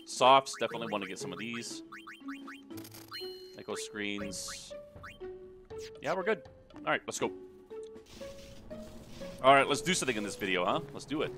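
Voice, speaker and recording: A man's voice: medium pitch, animated, moderate pace, long pauses, music in the background. A man hosting a gaming video with casual, joking, sweary commentary and an irreverent streak. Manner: irreverent, sweary, riffing on the characters.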